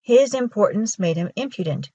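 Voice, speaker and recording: A woman with a husky voice reading a children's folktale aloud as a storyteller. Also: medium pitch, expressive, normal speed, dark tone, dull audio. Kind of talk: scripted storybook narration for children.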